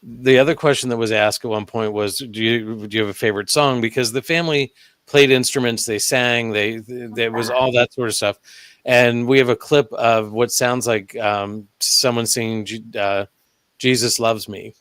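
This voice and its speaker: Smooth-voiced man with a dry close sound. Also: medium pitch, steady tone, fairly bright tone, no room sound. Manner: measured, hesitant with fillers. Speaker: podcast guest, investigator at a brewery. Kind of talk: casual roundtable chat.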